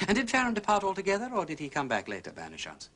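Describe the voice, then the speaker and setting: An actor playing a genial, shrewd, aristocratic English gentleman sleuth with an upper-class accent, urbane and probing, in a period detective drama. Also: high voice, huge pitch swings, rapid-fire, exaggerated voice. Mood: inquisitive. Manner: affable, urbane, probing with questions.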